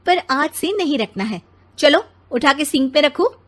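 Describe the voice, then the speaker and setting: A woman voicing an irritated, bossy young mother and wife who scolds and retorts sharply in an animated family story. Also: high voice, measured pace, voice pushed up.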